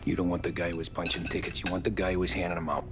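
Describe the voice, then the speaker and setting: An actor playing a weary ex-mobster offering a deal in a video game cutscene, calm and measured. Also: male voice, low voice, music in the background, close to an everyday voice. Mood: quiet.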